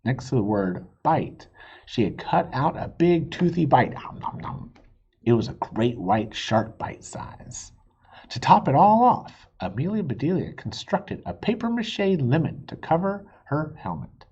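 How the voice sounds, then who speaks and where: A man reading a children's storybook aloud in a playful, expressive way, stretching words for emphasis. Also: fairly high pitch, a little slow, breathy edge, dull audio. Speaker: reader of a children's book. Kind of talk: animated storybook read-aloud with asides.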